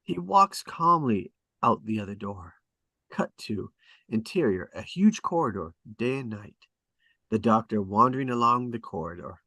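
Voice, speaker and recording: Lowish-voiced man narrating a script. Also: animated, normal speed, bright, forceful tone, no echo. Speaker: narrator reading stage directions. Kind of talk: scripted narration at a table read.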